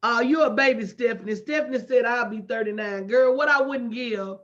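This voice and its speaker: A woman's voice: fairly high pitch, fairly quick, a bit bright. A woman in her late forties coaching a live online audience with emphatic, preacher-like repetition and folksy, direct address. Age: late 40s. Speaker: motivational business coach hosting a livestream. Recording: dull lo-fi livestream audio.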